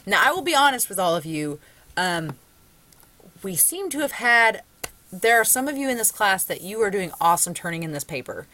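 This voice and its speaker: A woman's voice: medium pitch, very animated, normal speed, bright, forceful tone, no room sound. A woman with a Southern US accent, a college English instructor giving a conversational recorded lecture with frequent ums. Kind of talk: recorded lecture with screen share.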